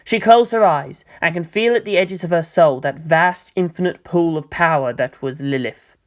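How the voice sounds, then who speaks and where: A woman's voice: low-pitched, normal speed. A woman narrating a scripted story as a fan fiction reader.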